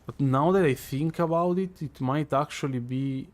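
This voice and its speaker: A man speaks with a strong Italian accent in a fairly high voice. He streams a game live and muses aloud, stopping and restarting, filling gaps with ums. The talk is loose and unhurried, chatting with his viewers as he plays. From Italy. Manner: musing, hesitant, many fillers and restarts. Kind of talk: live gameplay commentary.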